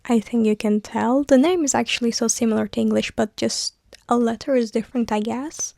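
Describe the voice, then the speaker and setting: A young woman whose first language is Turkish, chatting softly about her thrift finds and books in a casual vlog, with pauses and restarts. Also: fairly high pitch, steady tone, creaky, no room sound, studio quality. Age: young adult. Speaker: vlogger, university student. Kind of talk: casual vlog voice-over chat.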